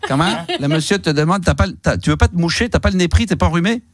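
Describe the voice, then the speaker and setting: A man's voice: on the high side, lively intonation, quick pace, no room sound. A man hosting a live phone-in, chatting in a teasing, jokey way on a close studio microphone. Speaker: radio phone-in host. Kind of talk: live late-night phone-in chat. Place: radio studio.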